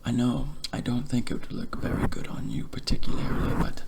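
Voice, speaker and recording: A man playing a polite, fussy barber in a whispered ASMR roleplay, speaking softly and formally close to the microphone. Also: mid-range voice, big pitch swings, vocal fry. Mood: soft, courteous. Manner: soft, hushed, formal, measured. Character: polite, fussy, chatty male barber tending a client. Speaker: ASMR performer. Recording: loud clicking and hiss, scissor sounds.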